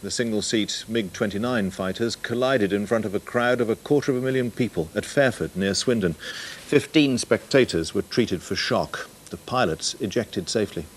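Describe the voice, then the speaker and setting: A man's voice: fairly low pitch, normal speed, a little hiss or hum, poor audio. A British man reading scripted news headlines as an anchor. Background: music.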